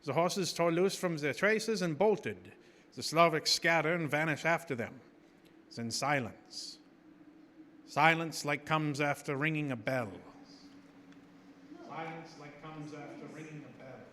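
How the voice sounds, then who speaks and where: A grave old vampire hunter speaks in halting, commanding phrases. His voice sits fairly high, ringing through long reverb over clear noise, in the manner of a live radio horror play. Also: bright, forceful tone. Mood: tense, awed. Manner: solemn, halting foreign phrasing. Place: small theater stage. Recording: noisy live stage broadcast, long hall reverb.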